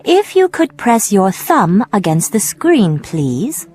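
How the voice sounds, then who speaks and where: An actress playing a businesslike tech company representative in a radio drama, polite, measured and firm. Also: female voice, medium pitch, sing-song, a little mellow, slight background noise. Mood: courteous.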